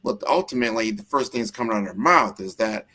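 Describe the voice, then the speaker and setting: A man from the United States with a fairly low voice, speaking conversationally as the host of an interview. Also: expressive, quick pace, sharp tone, husky. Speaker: show host.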